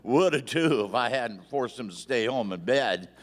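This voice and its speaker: An actor playing a folksy Old West sheriff with an in a live radio play, stumbling over repeated words. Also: male voice, medium pitch, lively intonation, normal speed, close to an everyday voice. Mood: eager, folksy. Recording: echoey theatre hall.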